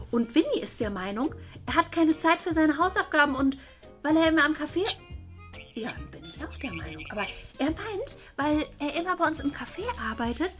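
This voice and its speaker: A woman's voice: high voice, a bit bright. A woman acting a stern, exasperated mother in a family web series, insistent and scolding. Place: small furnished rooms indoors.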